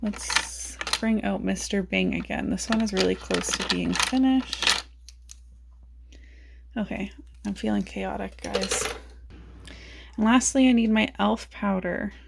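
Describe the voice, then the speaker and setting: A woman beauty vlogger chatting casually about her makeup, raspy as she loses her voice and thinks aloud in a self-mocking way. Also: medium pitch, slow, pauses often, noisy background.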